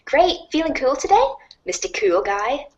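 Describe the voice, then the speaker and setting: A woman's voice: high voice, sing-song, moderate pace, dull audio, pitched above the performer's own voice. A woman playing a cheerful, quirky teenage girl with a bubbly, teasing, emphatic way of talking in a fan audio drama. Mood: bright, teasing.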